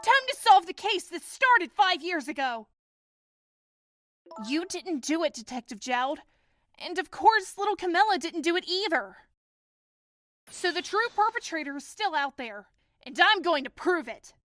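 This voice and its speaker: A woman's voice: very high-pitched, sing-song, measured pace, pauses often, fairly bright tone, heavily acted. A woman voicing an eager, earnest young female detective in a game fandub, speaking with emphatic determination. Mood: earnest, determined.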